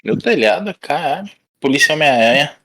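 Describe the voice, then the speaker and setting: A man's voice: a little high, animated, a little fast, a bit bright. A man with a Brazilian accent chatting casually as a podcast panelist, in informal, slangy language with some swearing. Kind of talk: casual chat.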